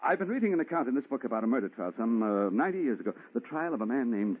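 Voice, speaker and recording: A man's voice: mid-range voice, sing-song, very fast, husky. A man acting a haunted, agitated man in an old-time radio horror play, dramatic and stammering, swinging from brooding to frantic. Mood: urgent, obsessive. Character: haunted, agitated man obsessed with a ghostly woman. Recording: muffled, distorted vintage radio recording.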